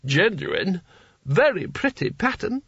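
Guy putting on a drunk dreamy old seraph, high and slow, dull dry sound. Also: big pitch swings, vocal fry, fairly bright tone, husky, no room sound, heavily acted. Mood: dreamy tipsy. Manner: slow, wandering, hiccuping. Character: tipsy, dreamy old male seraph, vague and rich.

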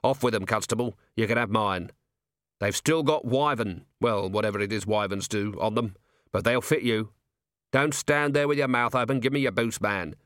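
A man voicing a gruff middle-aged male watch commander who talks in a brisk, sardonic way. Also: lowish voice, fairly bright tone, dry recording, studio quality, lightly acted. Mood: brusque.

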